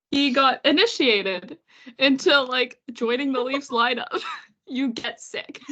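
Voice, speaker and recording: A woman co-hosting a casual podcast, congested and sniffly with a cold, chatting quickly with frequent laughs and sarcastic asides. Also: high-pitched, a bit bright, muffled recording. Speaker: podcast co-host. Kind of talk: casual chat about hockey.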